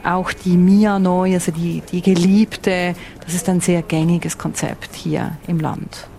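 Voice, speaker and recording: A woman's voice: lowish voice, level tone, slow pace, creaky, mellow, a little hiss or hum. A woman with a Swiss accent, a foreign correspondent giving vivid, explanatory answers in an interview and rephrasing as she goes. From Switzerland. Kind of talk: interview answers, descriptive reporting.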